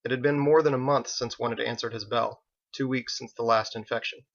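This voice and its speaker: A man's voice: medium pitch, fairly even intonation, a little fast, gravelly. Man narrating fantasy, slightly dull sound. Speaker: author reading own audiobook. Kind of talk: expressive scripted narration of a fantasy novel.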